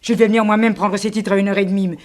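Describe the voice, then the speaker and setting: An actress playing a shocked, defensive middle-aged secretary in a French radio drama. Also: female voice, medium pitch, steady tone, rapid-fire, natural voice.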